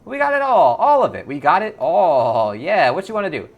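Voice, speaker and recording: A twitchy orc hustler from a cyberpunk game, acted out by a man, pitched well above a normal male voice. He is loud and jittery, repeating himself in slangy sales patter, with music playing underneath. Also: big pitch swings, moderate pace, muffled recording. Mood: manic, eager.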